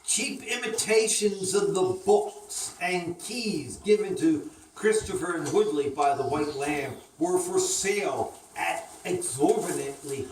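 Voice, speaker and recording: Woman, children's storyteller, unhurried delivery, roomy distant recording, slight background noise. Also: low voice, darkish tone. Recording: echoey room, distant mic, faint noise.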